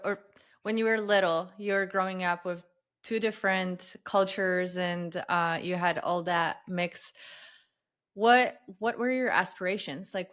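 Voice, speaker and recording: A woman's voice: medium pitch, a bit bright, smooth. A woman hosting a podcast, asking friendly, short questions with some fillers. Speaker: podcast host. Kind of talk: casual interview questions.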